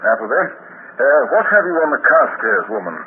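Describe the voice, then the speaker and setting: Actor as shrewd police inspector, lively pitch, tinny radio. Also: male voice, normal speed, close to an everyday voice. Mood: probing, polite. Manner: probing, polite, clipped questioning. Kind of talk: 1950s radio drama acting. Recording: noisy, tinny 1950s radio recording.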